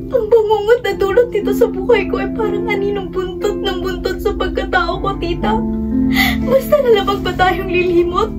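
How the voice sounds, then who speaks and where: High-voiced impassioned young activist daughter played by a woman. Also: steady tone, normal speed. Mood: tearful, bitter. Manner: impassioned, pleading. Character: Ella, grieving young activist daughter fighting for justice. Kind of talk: Filipino radio drama acting. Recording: distant mic under loud music.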